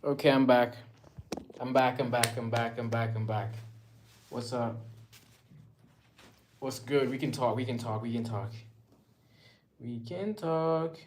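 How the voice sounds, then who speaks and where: A man's voice: mid-range voice, steady tone, measured pace, pauses often, a little mellow. A man with a North American accent hosting a livestream, chatting informally with viewers in slangy, often repeated short phrases and reading their comments. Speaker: livestream host. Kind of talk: casual chat with live viewers, reading comments aloud.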